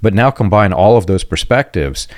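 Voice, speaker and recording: Man, very deep voice, podcast host, reflective, clears throat often, dry close sound. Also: animated, normal speed, no echo. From United States. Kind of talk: casual podcast conversation.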